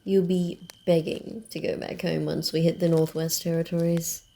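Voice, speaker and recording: A woman voicing a wary, dryly teasing vampire in a soft ASMR roleplay, often dropping to a whisper, with a grumbling, hesitant manner. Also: lowish voice, little pitch movement, moderate pace, vocal fry, slight background noise.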